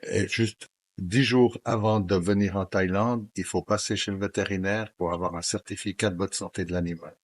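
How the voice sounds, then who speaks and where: A middle-aged man explaining steadily in an interview, measured and pausing with fillers. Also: low-pitched, fairly even intonation, dry recording. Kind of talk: calm explanatory chat.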